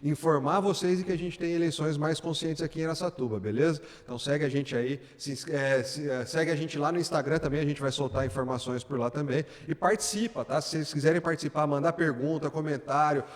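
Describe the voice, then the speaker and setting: A man hosting a podcast in a chatty, informal way, stumbling over fillers and restarting his sentences. His voice is a touch high for a man, and a long reverb trails behind it. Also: fairly even intonation, fast, few pauses. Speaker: podcast host. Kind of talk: casual interview hosting.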